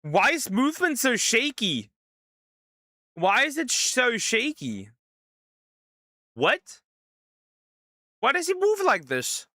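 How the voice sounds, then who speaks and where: Male streamer, casual game commentary, incredulous reactions, lively pitch, bright tone. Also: takes it slowly, pauses often, dry recording, clean studio sound. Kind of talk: let's play commentary.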